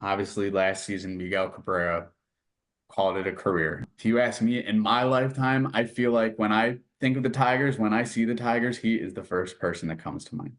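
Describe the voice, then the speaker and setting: A man's voice: on the low side, fairly even intonation, a little fast, fairly bright tone. A man hosting a sports interview, asking questions in a conversational style.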